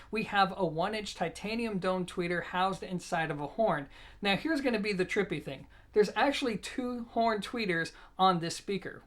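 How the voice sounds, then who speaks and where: A product reviewer hosting an audio gear video. The voice sits at a middle pitch. The delivery is informal and explanatory, speaking straight to the viewers. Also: fairly bright tone. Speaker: product reviewer and show host.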